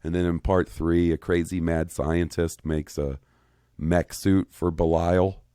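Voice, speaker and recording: A man in his thirties chats on a podcast in a very deep, mellow voice. He rambles, swears freely and gets worked up. The sound is dry and close. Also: a little slow, clean tone, dry recording. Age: 30s. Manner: rambling, profane, excitable. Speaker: podcast co-host. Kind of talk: casual podcast chat.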